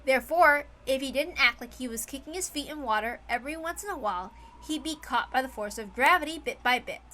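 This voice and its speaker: Bright, high-voiced woman narrating dramatically. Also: lively intonation, brisk. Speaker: reader of a visual novel.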